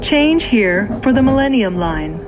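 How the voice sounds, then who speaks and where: A woman reading scripted transit announcements in a measured, clearly segmented way, as a train announcer. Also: fairly high pitch, very animated, music in the background. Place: played over speakers inside a train. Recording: tinny band-limited speaker, noisy train interior. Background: train and vehicle noise.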